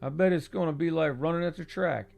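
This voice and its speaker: Man doing an eager young guy, pitched up, gravelly, audiobook, faint music. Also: fast, darkish tone. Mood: excited. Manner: eager, keeps saying man and dude.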